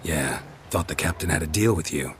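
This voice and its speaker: A man playing a stoic, gruff monster hunter in a video game, with a gravelly voice and a terse, deadpan delivery. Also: rumbling low, fairly quick. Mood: calm, dry. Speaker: game protagonist. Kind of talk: video game dialogue acting. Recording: game audio over ambient noise.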